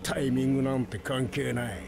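A man's voice: medium pitch, fairly even intonation, moderate pace, gravelly, very noisy, lightly acted. A man playing a blunt, laid-back veteran ninja in an anime game. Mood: unimpressed. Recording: game dialogue over loud music.

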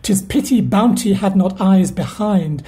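A woman acting a mellow, worried steward. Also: mid-range voice, expressive, a little slow, natural voice. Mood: worried aside. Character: worried, loyal household steward, quietly exasperated. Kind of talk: Shakespeare audio play reading.

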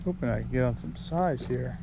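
A man vlogging while he fishes from a pier at night, chatty and excited, talking to a body-mounted camera. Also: medium pitch, a little fast. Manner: excited, chatty, swears mildly. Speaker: fishing vlogger. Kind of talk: casual narration while fishing. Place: outdoor fishing pier at night. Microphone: body-mounted action camera. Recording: noisy outdoor camera audio.

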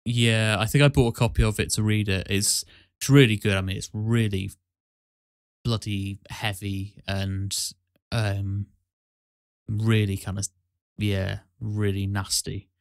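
A man's voice: lowish voice, little pitch movement, measured pace, no echo, studio quality. A man hosting a podcast in a casual, chatty style. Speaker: podcast host. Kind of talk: casual chat.

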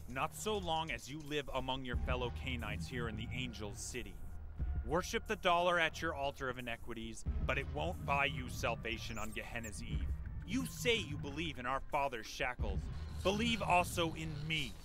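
A man acts out a fervent, unhinged male vampire prophet from a video game. He orates with pompous, sermon-like grandeur, and clear music plays behind him. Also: medium pitch, expressive, normal speed, lightly acted. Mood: preaching, imperious. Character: crazed male vampire preacher, fervent would-be bishop.

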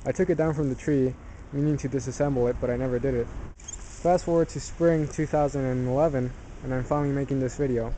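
A man presenting a hands-on DIY tutorial in an informal, halting way, correcting himself as he explains. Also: mid-range voice, fairly even intonation, warm tone, a little hiss or hum, rough recording.

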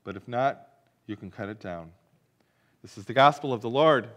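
A man's voice: medium pitch, normal speed, fairly bright tone. A middle-aged man, a pastor, reading the gospel and preaching a sermon in a church sanctuary, telling the story vividly and asking the congregation questions.

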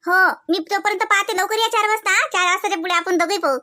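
A woman acts out a dramatic young wife in a comedy cartoon. The voice is extremely high and bright, with whiny, theatrical pouting in a Varhadi Marathi accent. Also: fairly even intonation, fast talker, no echo, studio quality. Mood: playful.

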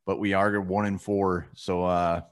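Deep-voiced man blunt sports chat. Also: level tone, unhurried. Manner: blunt, swears casually, rattles off stats. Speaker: co-host of a sports fan show. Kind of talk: casual postgame sports chat. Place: home room.

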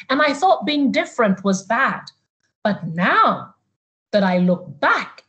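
A middle-aged woman with a slightly high voice speaks to young people on an online call. She is from Uganda and talks as a motivational storyteller, repeating words to drive them home. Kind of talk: webinar talk to young people.

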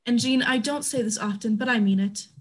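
A woman playing a worldly, caring madam in a staged play reading, with a slightly husky voice. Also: a little high, fairly quick. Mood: firm. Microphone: video call.

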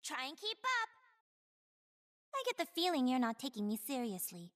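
A woman's voice: high-pitched, big pitch swings, normal speed, fairly bright tone. A young woman voicing a cocky, playful female pro gamer and mech pilot in a video game, with a chirpy, girlish voice and cutesy, taunting catchphrases. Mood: smug, taunting. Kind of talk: video game character voice lines.

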